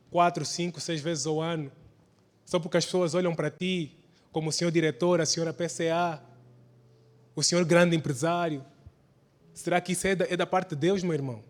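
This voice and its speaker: A man preaching a church sermon, exhorting with repeated phrases and rhetorical questions. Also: on the high side. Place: large church hall during a service. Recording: reverberant hall sound.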